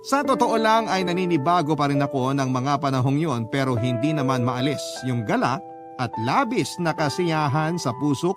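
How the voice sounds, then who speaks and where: A man reading a scripted first-person radio story as narrator, in a sentimental, measured manner. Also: on the high side. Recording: narration over prominent background music. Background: music.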